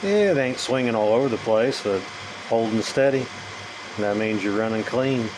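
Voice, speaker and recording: A man's voice: medium pitch, moderate pace, noisy background. A man giving a casual hands-on demonstration, talking in a plainspoken, folksy way with informal grammar. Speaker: hobbyist presenter demonstrating radio gear.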